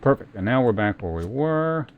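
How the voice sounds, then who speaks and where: A man's voice: mid-range voice, very animated, normal speed, phone-quality sound, slight background noise. A man streaming a video game, giving casual live commentary and thinking aloud in short muttered remarks.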